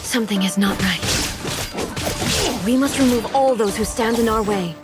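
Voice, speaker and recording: A woman playing a solemn, commanding female ruler in a video game, speaking formally and with measured authority. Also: a little high, loud music behind the voice, natural voice. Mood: wary.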